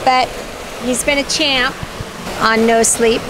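Higher-pitched woman chatting on a sailing vlog. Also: steady tone, slow pace, noisy background. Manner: informal, conversational. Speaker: sailing vlogger. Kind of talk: casual vlog chat. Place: aboard a sailing catamaran at sea.

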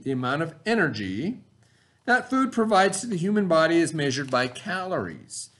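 A middle-aged American man, an elementary teacher, reading a lesson aloud to children in an expressive, instructional manner. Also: fairly high pitch. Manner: expressive, instructional, pauses to ask the listener questions. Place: small indoor classroom, at a desk.

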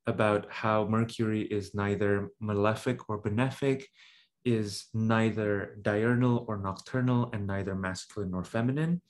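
A man in his thirties from Indonesia giving a reflective online talk on a webcam, with many "right?" checks and "um" pauses. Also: lowish voice, steady tone. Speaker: symposium speaker. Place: indoor room at home. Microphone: webcam video call.

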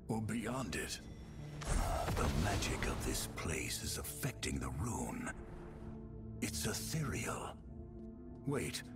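Low-voiced, grave adventurer hero. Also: male voice. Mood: wary. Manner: grave, deliberate. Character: stern, determined male adventurer hero seeking knowledge. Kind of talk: video game voice acting.

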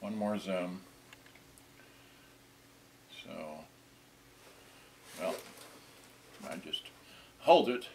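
A man in his sixties talking casually and haltingly, muttering to himself as he demonstrates something to a camera a short distance away in a small indoor room. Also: on the low side, darkish tone, a little hiss or hum. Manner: halting, muttering to himself while handling items. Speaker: vintage electronics unboxing vlogger. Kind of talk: casual off-the-cuff demonstration talk.

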